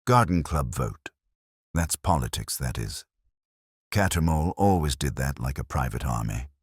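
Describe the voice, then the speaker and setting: A man's voice: rumbling low, lively intonation, moderate pace, slightly rough, no echo, studio quality, full character voice. A man voicing an eager junior police constable with short, deferential questions in an audio mystery story. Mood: questioning, startled.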